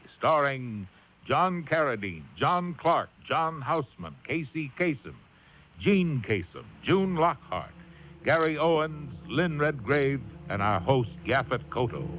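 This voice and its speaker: A man's voice: fairly high pitch, very animated, takes it slowly, fairly bright tone. A man announcing a radio drama in a formal, polished style. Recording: noisy live radio broadcast.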